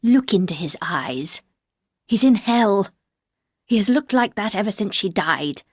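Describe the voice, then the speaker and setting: Woman reader doing a harsh, cold older housekeeper; low, slow and accusing, dry close sound. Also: lively intonation, darkish tone, slightly rough, dry recording.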